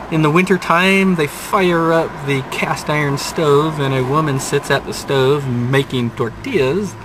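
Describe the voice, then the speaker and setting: An older man reviewing restaurants from inside his car, talking in an unhurried, rambling way with dry, self-mocking asides. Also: on the high side, noisy background. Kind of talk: casual restaurant review vlog. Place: inside a parked car, daytime. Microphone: dashboard camera at close range.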